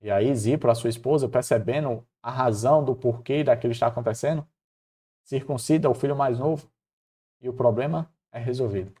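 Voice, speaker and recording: A man with a Northeastern Brazilian accent presenting an informal devotional Bible lesson, expressive and storytelling, using rhetorical questions. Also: mid-range voice, brisk, husky. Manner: expressive, acts out dialogue colloquially, rhetorical questions.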